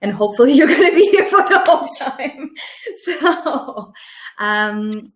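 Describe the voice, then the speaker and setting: A woman hosting a webinar, presenting and asking interview questions in a friendly, measured way with slightly phrasing. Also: sits high, animated, fairly bright tone. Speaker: webinar host, foundation coordinator. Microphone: video call. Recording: echoey room on a video call.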